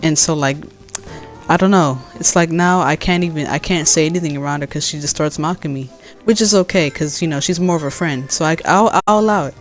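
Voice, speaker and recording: A woman from California with a deep voice, chatting casually as she plays a game and answers her chat, rambling with many fillers and restarts. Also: a little fast, faint music behind. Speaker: gaming streamer.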